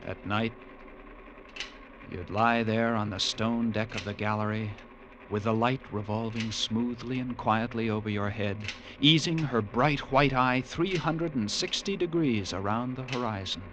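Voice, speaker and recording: An actor playing a lighthouse keeper narrating a sea tale in a measured, vivid, dramatic radio-drama style. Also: male voice, fairly low pitch, background noise, close to an everyday voice. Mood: wistful. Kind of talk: classic radio drama first-person narration.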